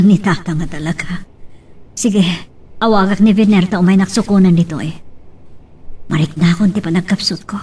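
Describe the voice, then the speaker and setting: A woman acting a distant-sounding, expressive daughter. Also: fairly low pitch, normal speed, pauses often, slightly rough. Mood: caring. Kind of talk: Ilocano radio-style drama acting.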